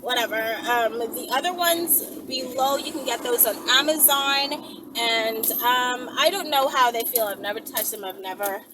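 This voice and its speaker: A woman's voice: on the high side, normal speed. A woman chatting casually to viewers in a rambling vlog, with a brash, playful, foul-mouthed manner. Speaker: video creator. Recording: distant mic with clear background noise.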